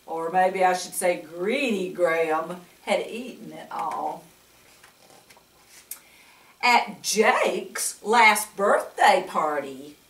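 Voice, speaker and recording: An older woman reads a picture book aloud to children in a grandmotherly way, pausing for chatty asides and coaxing questions. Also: a little high, a bit bright. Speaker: storyteller reading to children. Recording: echoey room, distant mic.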